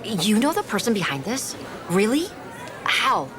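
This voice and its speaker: A sardonic, self-assured young heiress in a podcast drama. Her delivery is sarcastic and very animated in pitch. Music is clearly heard behind her. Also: unhurried. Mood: puzzled, impatient. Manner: dry, sarcastic. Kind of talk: audio drama acting.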